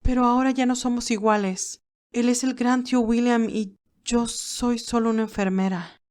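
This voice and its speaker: A woman's voice: a little high, steady tone, moderate pace, darkish tone, pitched above the performer's own voice, natural voice. A woman voicing an earnest, hurt young nurse who protests emotionally in an audio drama. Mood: dejected. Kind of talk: audio drama character acting.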